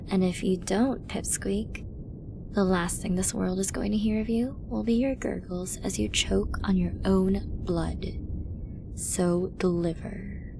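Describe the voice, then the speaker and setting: Slow, breathy, flirty villainess acted by a woman. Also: medium pitch, background noise. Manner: teasing, breathy, often drops to a whisper. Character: flirty, dominant, menacing female villain toying with a hostage. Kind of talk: ASMR roleplay audio drama.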